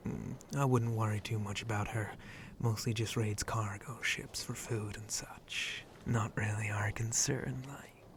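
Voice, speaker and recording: A man voices a lowborn shark-monster pirate, flirty and reassuring, in a fairly deep voice. He drawls slowly in rustic pirate talk, often sinking into a breathy whisper. It is an intimate ASMR roleplay with light background noise. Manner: rustic pirate dialect, hesitant drawl, teasing.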